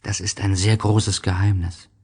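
A man narrating a literary story in the first person, in a reflective, measured and melancholy manner. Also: fairly low pitch, flat delivery, gravelly, a little mellow, breathy edge.

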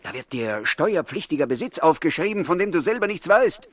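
An embittered man of ancient Judea with a fairly high voice. He is sarcastic and impatient, goading and challenging in the theatrical manner of a 1960s radio play. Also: very animated, fairly quick. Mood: sardonic. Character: bitter, sceptical, rebellious man of ancient Judea. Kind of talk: 1960s German radio drama acting.

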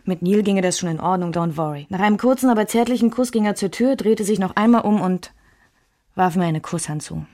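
Actress as wry woman telling her tangled love story, german radio play narration, reflective, medium pitch. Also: brisk, mellow, lightly acted. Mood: tender. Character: wry woman recalling a turbulent love triangle. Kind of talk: German radio drama first-person narration.

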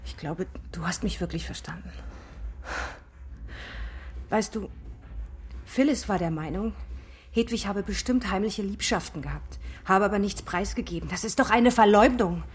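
A woman's voice: medium pitch, normal speed, long pauses, slightly rough, lightly acted. An actress playing a guarded, fragile, intense young woman in a radio drama. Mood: intense, confiding.

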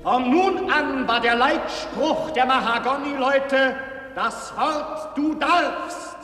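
A man's voice: extremely high pitch, takes it slowly, over music. A man playing a solemn stage announcer, declaiming proclamations in an opera performance, recorded in an echoey hall. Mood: solemn, proclaiming. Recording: echoey hall with long reverb.

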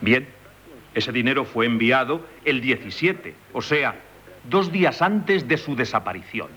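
An actor with a Castilian Spanish accent playing an insistent, formally courteous examining magistrate in 1970s radio theatre. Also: male voice, mid-range voice, expressive, measured pace, bright, forceful tone. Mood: probing, courteous. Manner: formal, probing, insinuating.

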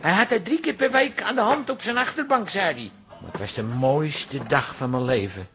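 An actor playing a fussy, opinionated older hairdresser telling anecdotes emphatically in a radio comedy-drama. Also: male voice, high-pitched, lively intonation, normal speed, bright, forceful tone, faint music behind, heavily acted. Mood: animated storytelling. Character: fussy, opinionated old hairdresser and proud father.

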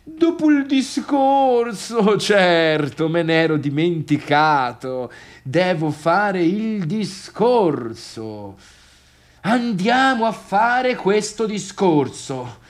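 An actor playing a bitter, needy, boastful failed pianist in a halting theatrical radio monologue that swings between murmurs and outbursts. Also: male voice, sits high, lively intonation, heavily acted. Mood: flustered, forced cheer. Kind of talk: radio theatre monologue, addressing imagined listeners.

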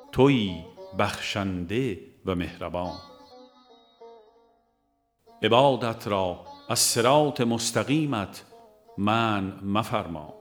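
Low-voiced man reading a religious audiobook. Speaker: audiobook reader. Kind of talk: scripted narration of a religious book.

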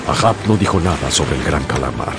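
A man narrating a seafaring story as a sailor in a radio drama, measured and storytelling. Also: very deep voice, animated, music in the background. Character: man, sailor recounting a whale hunt.

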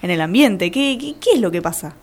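A woman's voice: medium pitch, animated, a little fast. A woman with a Rioplatense Argentine accent chatting casually as a radio co-host.

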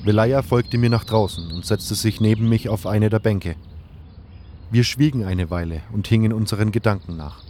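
Man acting as earnest adventurer hero, low voice, measured first-person storytelling, fantasy audio drama, birdsong and noise behind. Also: warm tone, close to an everyday voice. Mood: calm, reflective. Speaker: narrator and lead character of a fan audio drama. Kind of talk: audio drama narration with in-character dialogue.

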